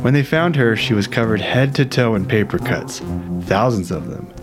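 A man's voice: medium pitch, animated, moderate pace, music in the background, natural voice. A man playing a wry, aggrieved investigator, narrating an audio drama thriller with sardonic, dramatic emphasis. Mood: grave. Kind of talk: scripted first-person thriller narration.

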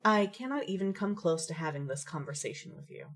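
A woman's voice: on the low side, expressive, fairly quick. A woman playing a haughty, wordy young lady gamer with a dry, mock-formal manner in an audio drama. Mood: dry sarcasm.

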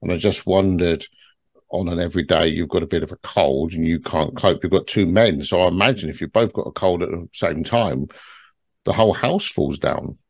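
A man with a very deep voice, recorded close and dry with no room sound. He leads the discussion, asking probing questions and reflecting the others' points back to them. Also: normal speed, vocal fry, a little mellow, no room sound. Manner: probing, sums up what others say. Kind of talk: moderating a group discussion.

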